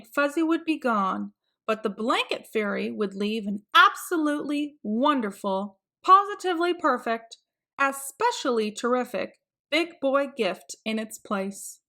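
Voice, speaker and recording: Woman, children's read-aloud, sing-song, very slow, bright tone. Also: a little high. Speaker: children's book reader.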